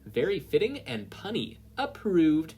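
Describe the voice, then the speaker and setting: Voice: medium pitch, wildly swooping pitch, measured pace, husky, voice pushed up, exaggerated voice. A narrator with an American accent voicing a brash, theatrical, teasing woman teacher in a story reading. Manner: loud, flamboyant, commanding.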